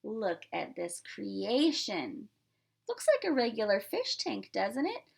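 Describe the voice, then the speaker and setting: A woman's voice: medium pitch, huge pitch swings, takes it slowly, vocal fry, breathy edge. A woman reading a picture book aloud as a pre-K teacher, expressively, with simple asides to young children.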